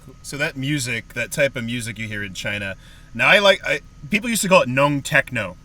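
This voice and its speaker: A man's voice: medium pitch, expressive, fairly quick, pushed and bright. A man hosting a podcast interview, asking short easygoing questions. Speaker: podcast host. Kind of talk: casual interview chat.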